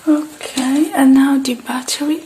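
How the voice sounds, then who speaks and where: Soft, slow-talking woman playing a repair technician. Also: high voice, fairly even intonation, slight background noise, low-quality recording. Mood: calm, focused. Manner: soft, unhurried, murmuring to herself while working. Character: gentle, polite, methodical female robot repair technician. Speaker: ASMR performer playing a device repair technician. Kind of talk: ASMR roleplay, soft-spoken with whispers.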